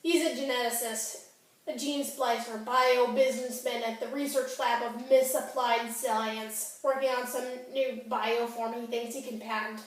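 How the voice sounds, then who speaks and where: A woman's voice: a little high, steady tone, measured pace, natural voice. A woman performing a theatrical monologue as an angry, wounded fifteen-year-old girl, melodramatic and sarcastic. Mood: hurt, sardonic. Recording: echoey room, distant microphone.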